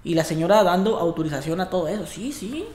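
A man in his thirties with a Mexican accent, telling a story in a casual vlog, profane and animated, acting out what others said. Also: high-pitched, fast talker, roomy sound, slight background noise. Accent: Mexican Spanish. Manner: animated, profane, acts out quoted voices with gestures. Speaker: barber and vlogger. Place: indoor barbershop with brick wall. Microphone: camera at desk distance.

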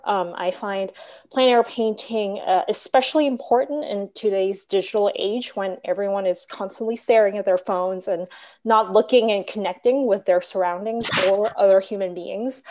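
A young adult woman from Hong Kong presenting her paintings on a video call, measured and full of "um" and "uh". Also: mid-range voice, mellow. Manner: measured, with frequent "um" and "uh". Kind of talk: online slide presentation. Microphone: laptop webcam video call.

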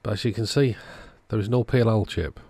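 A man's voice: lowish voice, brisk, darkish tone, no echo. A man narrating a hands-on electronics repair video in a casual way as its host.

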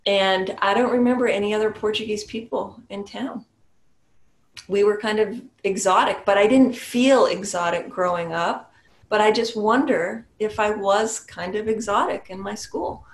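Reflective woman on an echoey video call. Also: medium pitch. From New Hampshire, USA. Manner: thoughtful, unhurried, with small restarts and soft laughs. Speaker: Zen priest and meditation teacher. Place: small home room with a shrine. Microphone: webcam on a video call.

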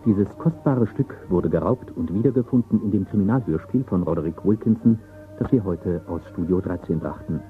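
A man's voice: deep voice, brisk, soft background music. A man reading formal scripted credits as a radio announcer. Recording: muffled phone-like old radio recording.

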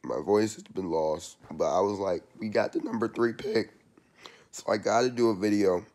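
Hoarse young man chatting casually. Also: fairly low pitch, moderate pace, a little mellow. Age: young adult. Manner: loose and chatty, slangy. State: hoarse, losing his voice. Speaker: sports channel vlogger. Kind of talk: casual vlog update. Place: small room indoors. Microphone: laptop webcam up close.

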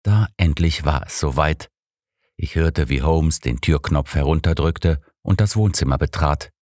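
A man's voice: a bass voice, unhurried, a little mellow, dry recording, studio quality. A man reading a scripted story aloud as an audiobook narrator, in the first person.

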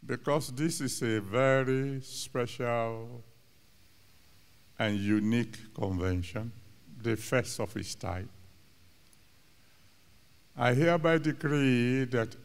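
A man's voice: medium pitch, slow, long pauses, clear, resonant tone. An older man with a Nigerian accent, a pastor pronouncing blessings in rhythmic, repeated declarations into a handheld mic on a large studio stage. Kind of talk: sermon blessing.

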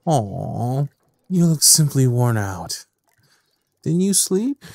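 Man acting a husky, sadistic evil scientist. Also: medium pitch, big pitch swings, darkish tone, dry recording, clean studio sound, heavily acted. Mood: mockingly cheerful. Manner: theatrical, taunting, measured. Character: sadistic, mocking evil scientist, obedient to his queen. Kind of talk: audio drama acting.

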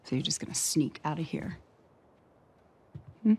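An actress playing a stern but caring middle-aged settlement leader in a naturalistic game cutscene, speaking plainly and firmly. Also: female voice, sits low, expressive, a little slow, breathy edge, heavily acted. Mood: resigned, firm. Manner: plainspoken, firm.

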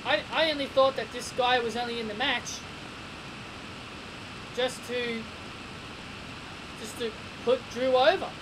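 A young man giving a casual wrestling review from his bedroom, excitable and repeating himself with stammering restarts, recorded on a desk webcam. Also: extremely high pitch, measured pace, pauses often. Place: small bedroom. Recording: noisy home webcam recording.

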